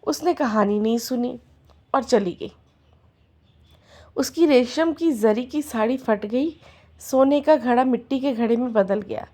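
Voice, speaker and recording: A hoarse woman narrates a devotional folk tale, slipping into rhythmic chanted refrains. Her voice sits somewhat high for a woman, with a worn, rough edge. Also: unhurried, pauses often, dark tone. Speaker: devotional storyteller.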